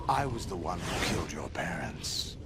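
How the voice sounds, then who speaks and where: A man's voice: low voice, very animated, moderate pace, heavy background noise. An actor playing a grandiose older military villain and foster father, solemn and theatrical, in a video game. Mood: grave, menacing. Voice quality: gravelly. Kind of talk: dramatic video game acting. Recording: loud music behind the voice.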